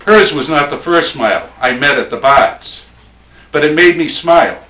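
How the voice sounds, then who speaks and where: A man speaking at a live poetry tribute in a plainspoken, measured way, between informal introduction and reading. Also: on the high side, expressive, sharp tone. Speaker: speaker reading a late friend's poems at a tribute. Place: indoor room at a live poetry event. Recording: roomy, echoing event recording with faint noise.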